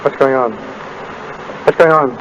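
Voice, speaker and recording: An actor playing a wry, unflappable married detective in a 1930s radio drama, with dry, flippant wisecracks. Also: male voice, medium pitch, fairly even intonation, a little slow, lightly acted. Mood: dryly sardonic. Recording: old noisy 1930s radio broadcast.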